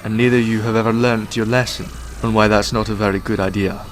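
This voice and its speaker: A man's voice: on the low side, fairly even intonation, fast talker, faint music behind, close to an everyday voice. A man playing a defiant, sardonic time-travelling hero in an audio drama, taunting his captors.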